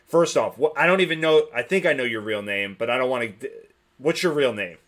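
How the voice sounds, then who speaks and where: Relaxed podcast host with many fillers. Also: male voice, mid-range voice, fairly quick. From New Jersey. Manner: relaxed, filler-heavy talk. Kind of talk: casual interview chat.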